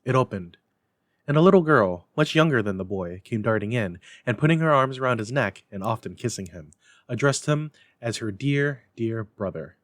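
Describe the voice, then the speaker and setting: A man giving a theatrical, expressive dramatic reading as a storyteller and host. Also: medium pitch, moderate pace, dry recording. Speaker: library staff storyteller and host. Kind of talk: dramatic scripted narration.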